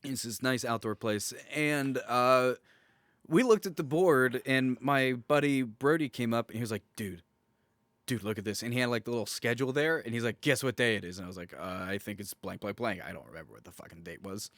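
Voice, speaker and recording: A man's voice: mid-range voice, fairly quick, fairly bright tone, dry recording. A man co-hosting a podcast, chatting casually and telling personal anecdotes while acting out the dialogue he quotes. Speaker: podcast co-host.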